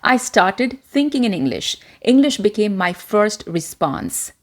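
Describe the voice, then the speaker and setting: A woman's voice: medium pitch, very animated, unhurried. Woman presenter with an American-influenced accent. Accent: transitional, American-influenced. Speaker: host, self-help presenter. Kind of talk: scripted talk to camera.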